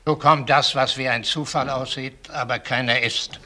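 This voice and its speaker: A man's voice: mid-range voice, fairly even intonation, normal speed, bright, forceful tone. An actor playing a shrewd, precise older retired policeman in a German crime radio play. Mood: precise, recounting.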